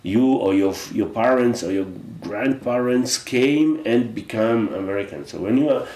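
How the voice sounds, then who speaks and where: Reflective Polish-accented man, halting speech. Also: medium pitch, expressive, darkish tone, roomy sound, rough recording. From Poland. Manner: halting, restarts often, reflective. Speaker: guest. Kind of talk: casual interview chat.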